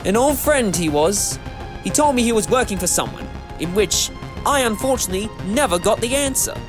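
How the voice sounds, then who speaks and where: An actor playing an eccentric, clever time-travelling adventurer in a fan audio drama, theatrical, with musing hesitations. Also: male voice, sits high, expressive, a little fast, over music. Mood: explanatory.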